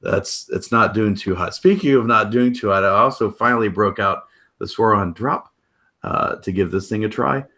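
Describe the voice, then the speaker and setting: A man in his thirties, a podcast host with a rough, hoarse voice, rambling casually with lots of fillers on a video call from a room at home. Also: fairly low pitch, moderate pace, pushed and bright. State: hoarse, coughing.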